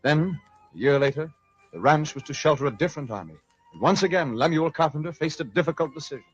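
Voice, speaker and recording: A man with a husky voice delivering scripted narration in an old-time radio style. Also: on the high side, expressive, fast. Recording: muffled vintage radio recording.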